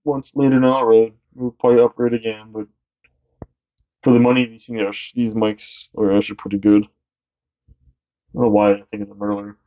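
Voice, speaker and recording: Deep-voiced young man, rambling podcast co-host. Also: little pitch movement, highs cut off. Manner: rambling, halting, many fillers. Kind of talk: casual chat.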